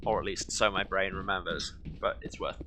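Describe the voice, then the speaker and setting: A man's voice: deep voice, steady tone, normal speed, background noise. A man giving casual, jokey commentary while playing a video game, with wry, rambling asides. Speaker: video game let's player. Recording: game music behind the voice. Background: video game music.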